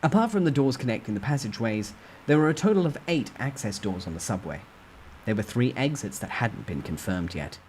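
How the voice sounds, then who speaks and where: A man's voice: mid-range voice, sing-song, brisk, darkish tone. Man narrating a novel over background noise. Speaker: storyteller reading a web novel aloud. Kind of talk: dramatic first-person novel narration.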